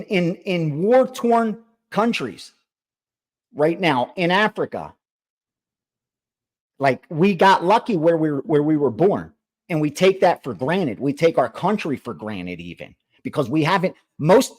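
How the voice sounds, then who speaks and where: Fired-up man ranting, higher voice. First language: Greek. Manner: heated, emphatic, swears, repeats himself. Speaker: sports betting show host. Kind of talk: impassioned monologue.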